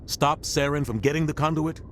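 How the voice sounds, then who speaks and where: A man playing an earnest middle-aged military captain in a video game, authoritative and measured. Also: fairly high pitch, steady tone, fairly bright tone, a little hiss or hum, natural voice. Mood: resolute. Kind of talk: dramatic video game acting.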